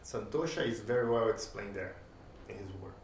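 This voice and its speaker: A man whose first language is Portuguese, musing aloud as host in a reflective solo talk, pausing often and posing questions to the listener. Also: on the low side, slight background noise. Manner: thoughtful, pauses often, rhetorical questions. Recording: roomy, distant from the mic, faint ambience.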